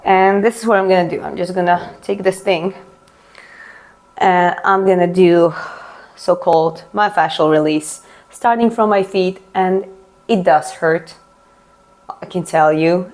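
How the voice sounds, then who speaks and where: A woman from Georgia whose first language is Russian, chatting casually on a live stream, answering viewer comments and explaining as she stretches, often restarting her words. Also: fairly low pitch.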